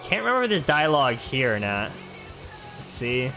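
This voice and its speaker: An informal male game commentator with a medium-pitched voice. He explains things in a chatty, casual way, with music clearly or faintly behind him. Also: sing-song, normal speed.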